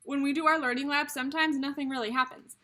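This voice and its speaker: High bright-voiced woman reading to young children. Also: steady tone, quick pace. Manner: childlike-friendly teacher talk, addressing listeners as friends, explaining simply. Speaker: early childhood teacher. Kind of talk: read-aloud picture book for young children.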